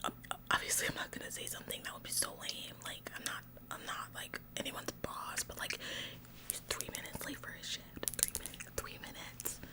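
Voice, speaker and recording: An officious retail worker, played by a woman for an ASMR roleplay, whispers throughout. She is smug and passive-aggressive, repeating herself in halting little nags as if she were in charge. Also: close to an everyday voice, rough recording. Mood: fussy, indignant. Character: bossy, officious female store employee, smug tattletale. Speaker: ASMR performer.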